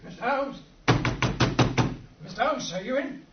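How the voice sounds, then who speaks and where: A man's voice: very high voice, expressive, a little slow. An actor with a London Cockney accent playing a deferential, easily astonished working man, stammering and exclamatory, in old-time radio drama. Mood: agitated. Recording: muffled, noisy old radio recording.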